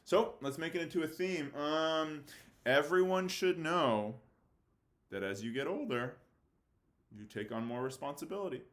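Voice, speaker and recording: A man's voice: on the high side, unhurried. A man teaching fifth graders in an informal, colloquial way, often checking in with his listeners. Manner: colloquial, with check-in tags like "right?". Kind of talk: informal lesson addressed to students.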